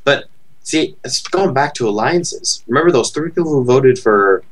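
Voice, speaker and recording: A man co-hosting a casual podcast on a close headset mic, rambling and thinking out loud. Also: mid-range voice, expressive, normal speed, rough recording. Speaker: podcast co-host.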